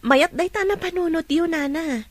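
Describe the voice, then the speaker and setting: High-voiced young Filipino woman. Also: normal speed. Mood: friendly. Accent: Filipino Ilocano. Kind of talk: radio drama acting.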